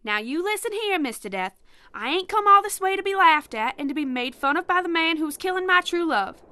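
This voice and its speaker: A woman's voice: very high-pitched, lively intonation, fairly quick, pushed and bright, no echo, clean studio sound, exaggerated voice. A woman playing a stubborn, plain-spoken young frontier woman in love. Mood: indignant. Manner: bold, indignant, plain-spoken.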